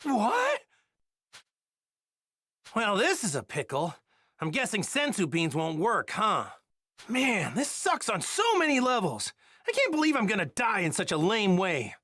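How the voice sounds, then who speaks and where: A woman voicing a naive, cheerful, battle-hungry male martial arts hero in an anime video game dub, with a folksy, boyish, excitable manner. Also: medium pitch, a little slow, pauses often, no room sound, studio quality, exaggerated voice. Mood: alarmed.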